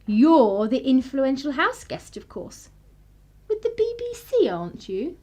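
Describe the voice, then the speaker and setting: A woman's voice: high voice, sing-song, measured pace, dark tone. A young woman with an upper-class English accent playing a spirited, sardonic 1930s vicar's daughter in a radio play, with arch, clipped period phrasing. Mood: breezy, teasing. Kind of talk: period radio play acting, narration and dialogue.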